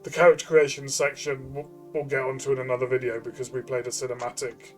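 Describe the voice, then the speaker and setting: A man's voice: medium pitch, flat delivery, fast, soft background music. A man co-hosting a role-playing game review, explaining things in a casual conversational style.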